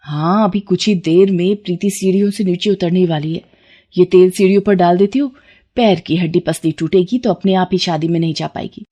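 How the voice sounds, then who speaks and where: A woman's voice: on the low side, a little fast, dark tone, lightly acted. A haughty, rich mother-in-law of middle age, put on by a woman storyteller. She is scornful and melodramatic, a schemer from a family morality tale. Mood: scheming.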